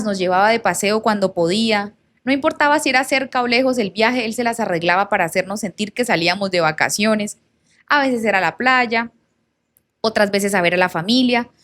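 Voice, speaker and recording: A woman's voice: medium pitch, fairly bright tone. A woman narrating and reading a personal storytime in a conversational way, with asides to her viewers. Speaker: content creator reading followers' stories.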